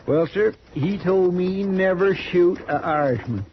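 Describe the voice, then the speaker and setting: An actor playing an earnest, naive frontier deputy with an American rural drawl, deferential and rambling, in a 1950s radio western. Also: male voice, sits high, animated, a little slow. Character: folksy, earnest, naive marshal's deputy in Old West. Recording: muffled, noisy old radio recording.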